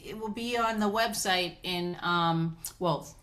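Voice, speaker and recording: Slightly low-voiced woman moderating. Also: takes it slowly, a bit bright. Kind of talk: informal event moderation.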